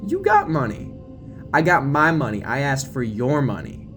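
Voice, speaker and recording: A man voicing a sassy, sharp-tongued, easily frightened young woman moviegoer in an audio drama, scolding and exclaiming over music. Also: mid-range voice, wildly swooping pitch, moderate pace. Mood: irritated. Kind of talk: audio drama character reading. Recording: music playing behind the voice.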